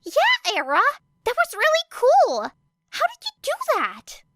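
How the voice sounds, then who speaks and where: A woman reading a fan audiobook, voicing an excitable teenage girl student who gushes and whines in a much higher voice. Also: a little slow, slight background noise, full character voice. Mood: excited. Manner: gushing, whiny.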